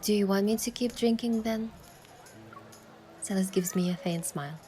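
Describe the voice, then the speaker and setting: A woman's voice: mid-range voice, normal speed, mellow, close to an everyday voice. A woman acting a dreamy romance-game heroine over music. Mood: playful. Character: young heroine, playful, tender, dreamy, narrating her own romance. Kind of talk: scripted first-person narration and dialogue in romance game. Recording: music behind the voice.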